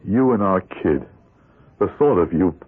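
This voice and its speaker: An actor playing a weary, pleading older ex-convict in a vintage radio drama, with a husky voice. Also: male voice, sits low, expressive, a little slow, full character voice. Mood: bitter, despairing. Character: weary gray-haired ex-convict, broken and pleading. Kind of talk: 1970s radio drama acting. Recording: tinny, band-limited old radio broadcast.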